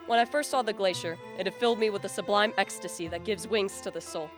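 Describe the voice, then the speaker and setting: A woman's voice: mid-range voice, fast talker, over music, lightly acted. A woman acting a haughty, guilt-ridden male scientist in a radio play, with formal, declamatory literary speech. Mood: brooding. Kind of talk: dramatic radio play acting with first-person narration.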